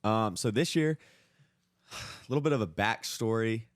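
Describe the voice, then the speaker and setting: A man's voice: on the low side, unhurried, no echo, clean studio sound. A young man from North Carolina co-hosting a podcast, chatting casually among friends. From North Carolina, United States. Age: young adult. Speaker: podcast co-host.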